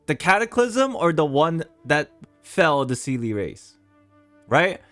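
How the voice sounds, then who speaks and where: A man's voice: fairly high pitch, sing-song, takes it slowly, a bit bright, no echo, faint music behind. A man streaming a game with casual commentary, musing and speculating aloud to his chat. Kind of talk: casual live playthrough commentary.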